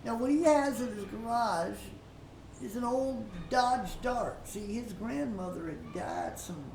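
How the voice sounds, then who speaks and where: An older woman giving informal Bible teaching as a story, in a folksy, halting way with repeated words and frequent pauses. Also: on the high side, vocal fry, a little mellow. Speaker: preacher telling a story. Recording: roomy, distant mic with faint noise.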